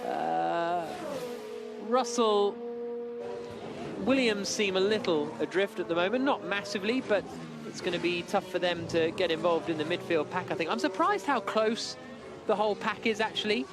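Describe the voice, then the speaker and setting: High-pitched male motorsport commentator. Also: lively intonation, normal speed, over music. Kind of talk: live race session commentary.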